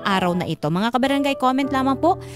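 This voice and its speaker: Female Filipino radio host, high register, frank and chatty relationship advice, music playing behind. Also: expressive, quick pace. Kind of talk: casual radio advice chat. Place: radio studio.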